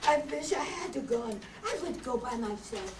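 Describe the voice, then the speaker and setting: A woman's voice: a little high, expressive, moderate pace, a crowd in the background, lightly acted. A woman playing a cautious, bossy servant girl in a radio play. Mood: worried. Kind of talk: old-time radio acting. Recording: echoey room, distant mic, noisy.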